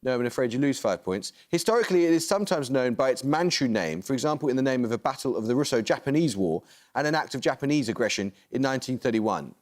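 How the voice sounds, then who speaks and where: A man hosting a student quiz show, reading questions and giving rulings in a crisp, brisk manner. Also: mid-range voice, lively intonation. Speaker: quizmaster.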